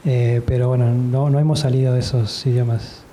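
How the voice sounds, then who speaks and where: A man with an Argentine accent answering as a panelist, speaking in a relaxed way with many fillers. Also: mid-range voice, moderate pace, slight background noise. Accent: Argentine Spanish. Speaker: panelist editor. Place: book fair hall.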